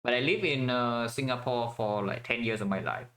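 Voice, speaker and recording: A man's voice: medium pitch, moderate pace, fairly bright tone. A man from Vietnam giving casual commentary while playing a strategy game, thinking aloud with many fillers and restarts.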